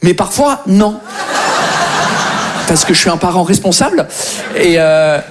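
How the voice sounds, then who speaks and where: A man in his thirties from England with an English accent, performing an animated stand-up routine that slips between French and English. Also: high voice, measured pace, very noisy. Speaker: stand-up comedian.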